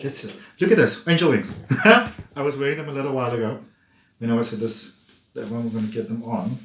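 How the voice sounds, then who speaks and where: A man's voice: mid-range voice, brisk, a bit bright, slight background noise. A man giving a playful, chatty tarot reading, laughing often and talking to viewers. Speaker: tarot reader. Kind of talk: casual tarot reading monologue.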